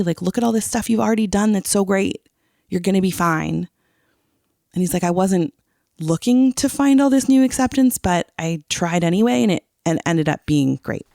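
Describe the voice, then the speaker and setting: Podcast co-host, mid pitch, fry in the voice, casual explainer who seeks confirmation, dry recording. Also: mellow, dry recording. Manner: often checks that the listener follows. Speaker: teacher. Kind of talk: casual podcast chat, explaining song lyrics.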